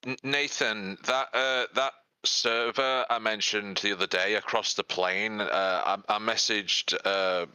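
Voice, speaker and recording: A low-pitched man with a sharp, bright edge to his voice talks casually in an online call. The sound is dry and close, and he often stumbles over the first word before going on. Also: fairly even intonation, measured pace, hardly any pauses, clear, resonant tone, no echo, low-quality recording. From northern England, near Manchester. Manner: stammers on starts, chuckles. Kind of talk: casual group voice chat.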